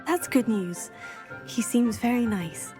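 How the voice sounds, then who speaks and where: Polite, higher-pitched schoolgirl. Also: slow pace, over music. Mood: earnest. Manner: polite, earnest. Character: polite, earnest teenage girl student of magic. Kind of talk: video game cutscene acting.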